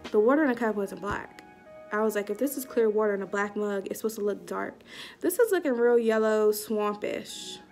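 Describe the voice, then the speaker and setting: A woman's voice: medium pitch, normal speed, soft background music. Young woman telling a slangy story. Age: young adult. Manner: animated, slangy storytelling with acted-out quotes. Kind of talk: casual storytime vlog. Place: small indoor room before a curtain. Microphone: webcam or phone at arm's length.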